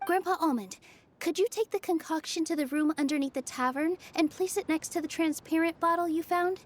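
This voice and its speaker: A woman playing a gentle, earnest young female magician in a video game, soft and polite in manner. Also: very high voice, a little fast, a little hiss or hum. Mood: helpful, busy. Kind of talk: animated video game acting.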